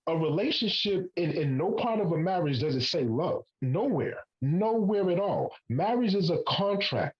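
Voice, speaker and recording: A man's voice: sits high, lively intonation, moderate pace, few pauses, lo-fi sound. A man in his forties hosting a podcast panel, making his point emphatically and swearing casually. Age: 40s. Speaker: podcast host.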